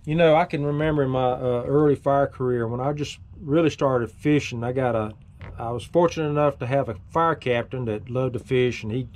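A middle-aged man hosting a fishing vlog from a small boat on a lake, talking in a folksy, drawling way and getting excited when fish bite. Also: mid-range voice, a little fast, a little hiss or hum. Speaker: fishing video host. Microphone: action camera mounted on boat.

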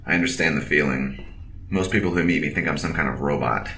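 An actor playing a reserved, polite cyborg officer in an audio drama, speaking in a formal, measured deadpan. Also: male voice, very deep voice, a little hiss or hum, exaggerated voice. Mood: reserved, matter-of-fact.

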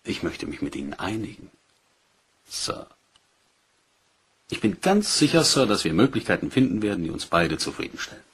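An actor playing a polite, calculating British police inspector in a German crime radio play, with measured, insinuating deference. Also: male voice, sits low, big pitch swings, breathy edge, full character voice. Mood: quietly menacing.

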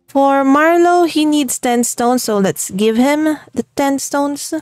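A young woman with a Filipino accent talks her way through a game, upbeat and chatty and quick to laugh. Her voice sits a little high. The sound is close and dry, with no room around it. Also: darkish tone, no echo, soft background music. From Philippines. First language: Tagalog. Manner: cheerful and chatty, laughs often. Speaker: gaming channel host. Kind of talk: let's play commentary.

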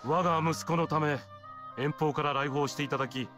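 A noble king in his prime from a fantasy game acted by a man. He is formal and courteous, with a somewhat high voice over loud music. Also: steady tone, moderate pace, lightly acted. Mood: gracious formality. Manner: formal, gracious. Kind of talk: Japanese fantasy game voice acting.

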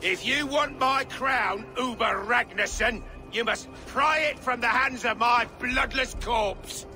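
A man's voice: very high voice, slow, sharp tone, faint music behind, exaggerated voice. A scornful defiant Saxon king. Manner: scornful, commanding. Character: proud defiant Saxon king, older man.

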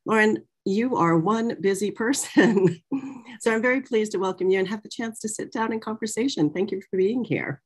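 A middle-aged woman moderating an online talk from a small office, in a conversational, animated manner. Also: mid-range voice, moderate pace, slightly rough. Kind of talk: prepared introduction and conversational interview. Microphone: video call.

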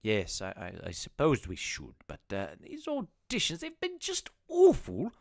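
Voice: medium pitch, huge pitch swings, unhurried, dry recording, studio quality. A performer playing a pompous male casting director in a comedy sketch, impatient and prone to stammering. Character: exasperated male casting director for a baby-food ad.

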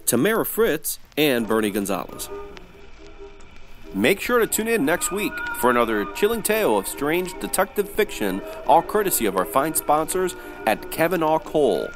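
An actor playing a theatrical, peppy announcer on an old-time mystery radio show. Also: male voice, fairly high pitch, big pitch swings, normal speed, over music. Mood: showy, ominous.

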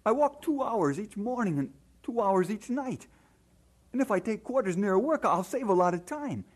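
A man's voice: sits high, lively intonation, moderate pace. An actor playing an earnest, hesitant young Scottish man in an audio drama.

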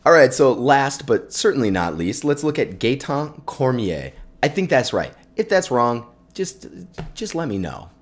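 A man in his thirties casually talking through viewer photos at home, relaxed and enthusiastic, with lots of fillers. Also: mid-range voice, a little slow. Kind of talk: casual chat. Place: bright living room at home. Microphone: desk condenser mic in frame.

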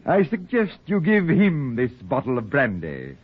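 Affable wayward father, middle-aged, lively and husky, for vintage radio drama. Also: medium pitch, unhurried, full character voice. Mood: warm, grateful. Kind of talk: 1940s radio acting. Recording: muffled, narrow-band 1940s radio recording.

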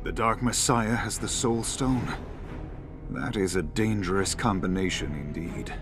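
An actor voicing a composed, commanding sorcerer in a game cutscene. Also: male voice, low voice, music in the background. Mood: grave. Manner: measured, commanding gravity. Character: stern, composed master sorcerer. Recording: game cutscene with loud score.

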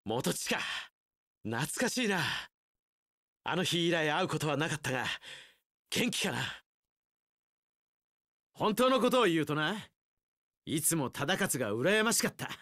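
Bright, earnest young samurai hero. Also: male voice, fairly high pitch, very animated, a little slow, long pauses, dry recording, studio quality, full character voice. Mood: friendly, nostalgic. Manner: earnest, heroic, declarative. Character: sunny, resolute young samurai general preaching bonds. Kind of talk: anime-style action game voice acting.

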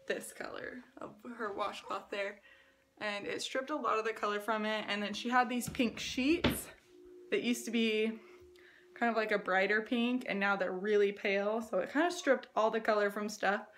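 A woman's voice: on the high side, measured pace, slight background noise. A woman in her twenties chatting casually in a home vlog, filmed on a phone at arm's length, often saying "like". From US West Coast. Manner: chatty, lots of "like", hushed to avoid waking a sleeping baby. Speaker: family vlogger. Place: small home rooms indoors. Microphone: phone camera at arm's length.

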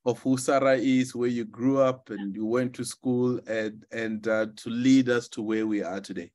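A man's voice: medium pitch, fairly even intonation, moderate pace, a little mellow. A man hosts a podcast interview over a video call. He is friendly and hesitant, padding his questions with fillers and restarts. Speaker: podcast host. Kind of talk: interview hosting.

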